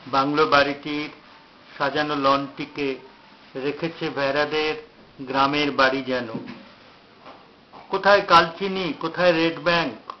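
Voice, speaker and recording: A man with a slightly high voice recites his poetry very slowly. His phrasing is measured and declamatory, broken by pauses. The recording is dull and lo-fi, with faint noise behind him. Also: steady tone. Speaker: poet reciting own poem.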